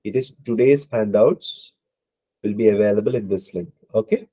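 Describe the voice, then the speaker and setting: A man's voice: lowish voice, moderate pace, warm tone, slightly rough. A man with a cold teaching an online exam-prep class, hesitant, with many fillers and apologies. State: hoarse from a cold. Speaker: IELTS instructor.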